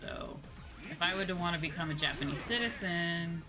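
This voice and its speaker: A woman from the United States giving casual, animated, sweary game commentary as a streamer. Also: fairly low pitch, a little fast. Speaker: VTuber streamer. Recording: game music behind.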